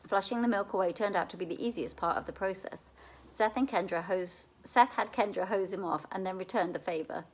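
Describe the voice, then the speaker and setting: A woman reading a children's novel aloud in an expressive storytelling way, as at a library read-aloud. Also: mid-range voice, brisk, rough recording.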